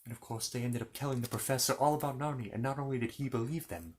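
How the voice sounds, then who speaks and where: A man's voice: mid-range voice, fast, a little hiss or hum. A man telling a children's fantasy story in an informal ASMR style, rambling along with playful, courteous asides to the listener.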